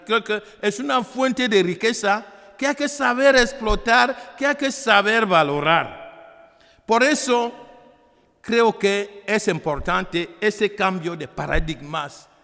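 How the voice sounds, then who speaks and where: High-voiced man in an echoey hall. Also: pushed and bright. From Africa. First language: not Spanish. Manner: emphatic, halting, repeating phrases. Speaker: priest and author presenting a book. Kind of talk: public talk, preaching and persuasive. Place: hall at a book presentation. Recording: echoey hall with long reverb.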